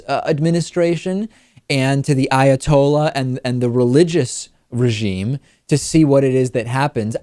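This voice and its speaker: A man with a medium-pitched voice delivers political commentary as a talk show host. He explains in a measured way, qualifying as he goes. The sound is dry and close on a studio microphone. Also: darkish tone, dry recording. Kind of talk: news commentary and analysis.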